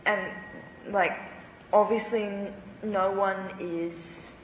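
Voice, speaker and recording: A woman's voice: medium pitch, fairly even intonation, slow pace, slight background noise. A young woman in her twenties speaking as a panel guest, rambling with plenty of fillers and hedges. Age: 20s. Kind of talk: panel discussion answers. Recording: roomy hall with faint ambience.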